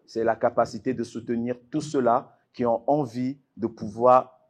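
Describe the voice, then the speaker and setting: A man's voice: medium pitch, fairly even intonation, moderate pace. A man with an Ivorian accent, working as master of ceremonies at a fight event, hyping up a live audience with emphatic, repeated phrases.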